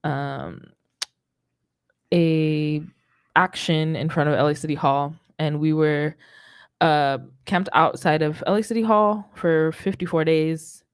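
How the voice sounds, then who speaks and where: A woman's voice: sits low, takes it slowly, smooth, no echo. A young American woman hosting a music show, chatting casually into a studio mic. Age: young adult. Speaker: radio show host. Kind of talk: casual chat on a music livestream. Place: indoor studio. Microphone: boom-arm studio mic.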